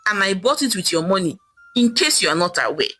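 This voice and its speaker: A woman's voice: medium pitch, very animated, fairly quick, pushed and bright, soft background music. A woman voicing a defiant middle-aged Nigerian wife in a folktale, scolding and taunting with emphatic delivery and a Nigerian accent. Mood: smug defiance.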